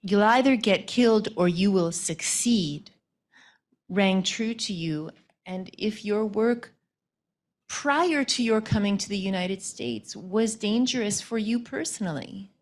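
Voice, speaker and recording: A woman moderating a discussion asks a long prepared question. She speaks at an unhurried, slow pace and phrases each clause with care. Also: mid-range voice, expressive.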